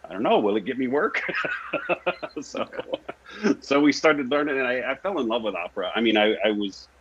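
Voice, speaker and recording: A man's voice: mid-range voice, expressive, normal speed, slightly rough. A man, an actor and singer, telling his story casually as an interview guest. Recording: echoey room, dull lo-fi audio.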